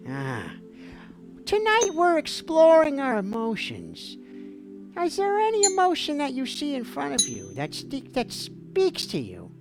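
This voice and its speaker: A woman with a gravelly voice hosts a playful call-in stream, posing as a dreamy mock therapist. Her fairly high voice swoops wildly up and down in a theatrical, goofy way. Music plays clearly in the background. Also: huge pitch swings, unhurried. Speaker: livestream call-in show host. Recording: music playing behind the voice.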